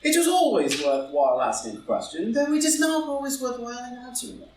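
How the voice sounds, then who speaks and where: A man's voice: very high-pitched, very animated, fairly quick. A man playing a flippant English dandy lord with a languid, arch, dryly witty manner in a stage comedy reading. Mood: amused, flippant. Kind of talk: live read-through of a stage comedy as audio drama. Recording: echoey room, distant mic, faint noise.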